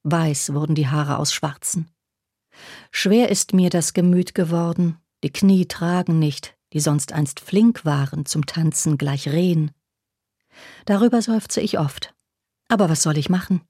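A woman's voice: deep voice, unhurried, dark tone, dry recording, studio quality. A woman reciting literary verse as a reader of quotations.

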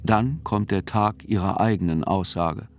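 Radio play narrator, male, sober and unhurried delivery of literary text, faint music underneath. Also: low-pitched. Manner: deliberate, sober.

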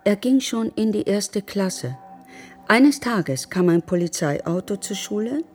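An actress playing a sombre woman who narrates in a measured, sober, detached way in a radio drama. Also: mid-range voice, animated, a little mellow, breathy edge, faint music behind. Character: sombre woman recounting grim memories of a children's home.

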